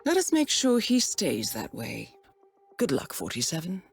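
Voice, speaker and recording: Composed woman handler, expressive pitch. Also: gravelly, exaggerated voice. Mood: composed briefing. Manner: measured, formal, articulate. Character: composed, steely female intelligence-agency handler, guarded and defiant. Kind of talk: video game briefing and cinematic dialogue.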